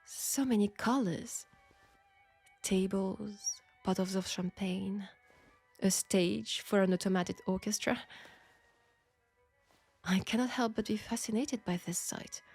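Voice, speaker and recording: A woman playing a candid, proud French seamstress in a steampunk audio drama, speaking with a French accent in a formal, deliberate way. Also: medium pitch, a little mellow, slightly rough, faint music behind. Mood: quietly fascinated. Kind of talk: intimate scripted audio roleplay.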